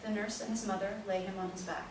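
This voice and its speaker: A woman's voice: medium pitch, fairly even intonation, fast talker. A woman reading stage directions as scripted narration for a play reading. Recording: echoey room, distant mic, noisy.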